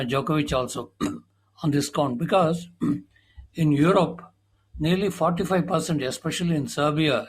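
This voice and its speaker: A man's voice: a little high, poor audio. A man with an Indian English accent, a sports journalist explaining at length, with many fillers. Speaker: senior sports journalist, guest. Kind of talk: conversational interview talk.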